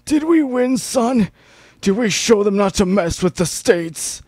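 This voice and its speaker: A man's voice: sits high, lively intonation, a little slow, a little mellow, no room sound, studio quality, voice pushed up. A man voicing a shriveled old American pony in a dramatic story reading. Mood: eager. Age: older. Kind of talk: amateur dramatic reading of fan fiction.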